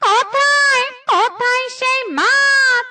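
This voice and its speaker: A woman's voice: very high voice, pushed and bright, heavily acted. A woman voicing a small, greedy, whining animal thief in a cartoon, sneaky and plaintive. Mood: craving, scheming. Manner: whiny, slow. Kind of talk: cartoon voice acting.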